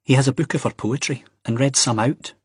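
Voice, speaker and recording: A man's voice: mid-range voice, fairly quick, breathy edge, no room sound, studio quality, voice pushed up. A man voicing an earnest, cheeky young Scottish man in an audiobook, blunt and insistent. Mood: indignant banter.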